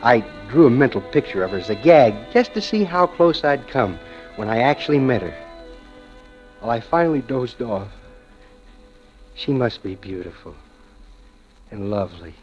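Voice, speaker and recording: A man's voice: medium pitch, big pitch swings, normal speed, long pauses, darkish tone, close to an everyday voice. An actor with an American accent playing a smitten, obsessive bank clerk who narrates his own story in a 1940s radio drama. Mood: dreamy. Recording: muffled old radio recording with music.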